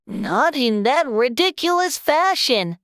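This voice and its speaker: A narrator voicing a sleepy, sulky little Dormouse in a storybook, complaining in a drawn-out way. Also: high voice, big pitch swings, a bit bright, no room sound, studio quality, voice pushed up, heavily acted. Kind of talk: dramatized storybook character voice.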